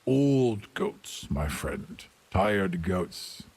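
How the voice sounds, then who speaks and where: A man playing a sly, courtly wandering priest in a tabletop game, bargaining in a husky, formal, persuasive way. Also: sits low, big pitch swings, drawn-out words, darkish tone, pitched below the performer's own voice, full character voice. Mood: sly persuasion.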